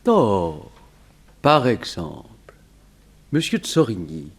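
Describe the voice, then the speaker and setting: Cocky, animated male gentleman burglar. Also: on the low side, husky, full character voice. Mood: feigned politeness. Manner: ironic, theatrical, drawn-out emphasis. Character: cocky, urbane English burglar, mocking and sly. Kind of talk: 1960s French radio crime serial acting.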